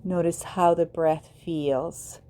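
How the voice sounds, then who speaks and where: Very slow, low-voiced woman guiding meditation. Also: steady tone, dark tone. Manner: soothing, measured, with long pauses between short phrases. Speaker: yoga nidra guide.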